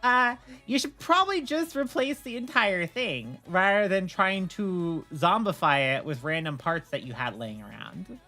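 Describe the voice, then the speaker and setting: Low-voiced, animated woman streamer. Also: unhurried, soft background music. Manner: opinionated, blunt, animated storytelling with swearing. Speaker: streamer, host. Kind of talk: casual livestream chat.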